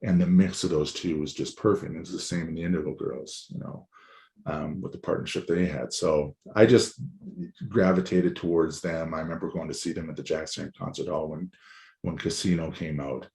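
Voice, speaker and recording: Very deep, hoarse-voiced man. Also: steady tone, moderate pace, mellow. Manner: rambling, candid, swears freely. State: hoarse after weekend shows. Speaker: musician guest. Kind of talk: casual interview talk.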